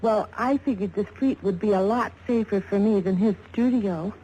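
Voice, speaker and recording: Woman acting young fashion model, dark mellow tone, hesitant, measured, 1950s radio drama. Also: mid-range voice, close to an everyday voice. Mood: wary. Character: shaken but scornful glamorous young female fashion model. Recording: faintly noisy vintage radio recording.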